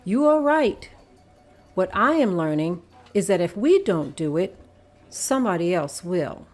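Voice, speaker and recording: A woman at a table read playing an agreeable club member and secretary in a plain, steady voice. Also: fairly low pitch, measured pace.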